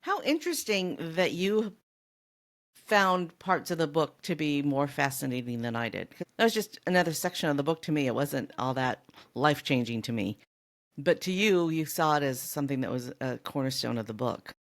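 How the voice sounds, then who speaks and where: Low-voiced woman explaining things casually. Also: lively intonation, normal speed. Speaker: podcast co-host. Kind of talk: casual book discussion.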